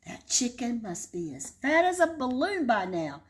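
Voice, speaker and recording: A woman's voice: a little high, sing-song, measured pace. A woman voicing a greedy male wolf in a children's storybook, talking in a sly, wheedling way. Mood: scheming.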